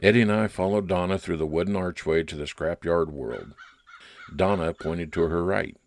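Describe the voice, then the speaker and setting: A man's voice: low-pitched, normal speed. A man narrating a scripted first-person detective story in old-time radio style.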